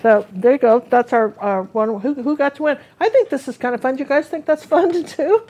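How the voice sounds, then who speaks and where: A woman's voice: high-pitched, lively intonation, a little fast, warm tone. A woman teaching a live painting lesson, chatting loosely and trailing off as she works. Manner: chatty, rambling, self-interrupting. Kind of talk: casual live painting demo.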